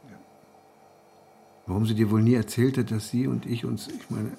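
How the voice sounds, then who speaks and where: A man's voice: mid-range voice, flat delivery, normal speed, vocal fry, warm tone, slight background noise, natural voice. An actor playing an anxious, wordy man of about sixty in a radio drama, self-deprecating, hesitant and wry. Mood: flustered, defensive. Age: 60s. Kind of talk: naturalistic radio drama acting.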